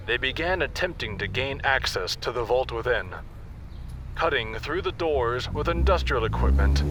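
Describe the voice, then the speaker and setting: A man plays a grave on-location news reporter in an audio drama. His forceful, bright voice delivers a scripted report over faint street and traffic noise. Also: mid-range voice.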